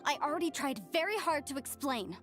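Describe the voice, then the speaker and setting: A woman's voice: high-pitched, lively intonation, fairly quick, a bit bright, faint music behind. A woman voicing an earnest, defensive young archaeologist in a video game.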